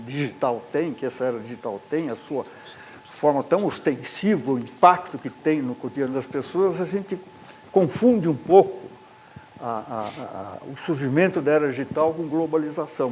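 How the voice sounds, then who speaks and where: A man's voice: on the high side, slight background noise, poor audio. A man with a Brazilian Portuguese accent, answering as a panel guest in a thoughtful, hesitant way. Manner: thoughtful, hesitant, uses fillers. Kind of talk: debate answer.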